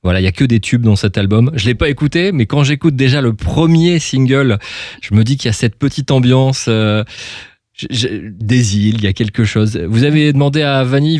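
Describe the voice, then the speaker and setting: A man's voice: mid-range voice, darkish tone, dry recording, clean studio sound. A man hosting an informal radio interview, chatty and rambling, often jumping between half-finished phrases. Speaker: radio host interviewing a guest.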